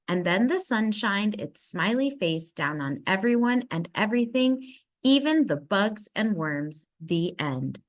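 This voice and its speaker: A woman narrating a children's storybook aloud in an expressive manner with dramatic emphasis. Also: medium pitch. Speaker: storybook reader.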